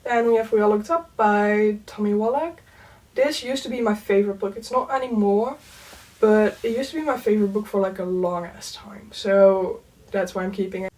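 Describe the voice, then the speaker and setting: A young woman whose first language is Dutch, chatting casually in a book vlog, full of "um" and "like" and soft laughs. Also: medium pitch, normal speed, far from the mic, poor audio. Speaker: book vlogger. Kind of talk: casual chat.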